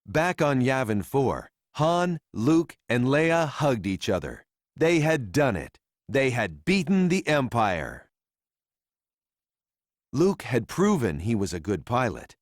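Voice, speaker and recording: A man narrating a children's read-along story in a simple, deliberate storytelling manner for young readers. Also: mid-range voice, sing-song, no room sound, studio quality.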